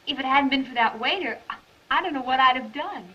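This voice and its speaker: Plucky young heroine: high, bright, proud, direct, vintage film hiss. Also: female voice, fast talker. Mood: weary, grateful. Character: plucky, proud young woman down on her luck. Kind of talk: 1930s film comedy-drama acting. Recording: noisy, muffled 1930s film soundtrack.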